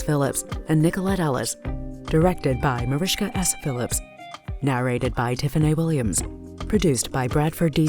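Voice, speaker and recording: A woman's voice: deep voice, a little mellow. A woman reading scripted narration and credits as the narrator of an audio drama. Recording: music behind the voice.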